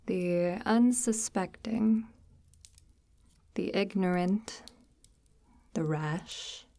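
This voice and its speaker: Woman voicing a slow, hushed, sinister forest witch. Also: mid-range voice. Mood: quietly ominous. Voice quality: breathy, often near-whispered. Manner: unhurried, formal, archaic-tinged, gently menacing. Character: soft-spoken, sinister, coaxing forest witch luring a lost traveler. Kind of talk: ASMR audio roleplay, slow intimate monologue.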